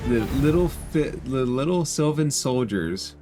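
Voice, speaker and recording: Male game master, unhurried delivery, mid-range pitch, guiding tabletop play over prominent guitar music. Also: animated. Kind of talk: narrating and running a tabletop game. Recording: music playing behind the voice.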